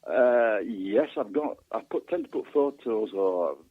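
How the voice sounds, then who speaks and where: A man being interviewed as a guest author and historian, speaking casually and haltingly, often repeating words mid-sentence. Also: on the low side, expressive. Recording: muffled, narrow phone-line sound with echo.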